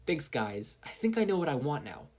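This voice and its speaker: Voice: medium pitch, sing-song, normal speed, darkish tone, pitched above the performer's own voice. A narrator with an American accent voicing a kind, anxious, sincere teenage boy hero in a story reading. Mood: earnest. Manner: sincere, flustered.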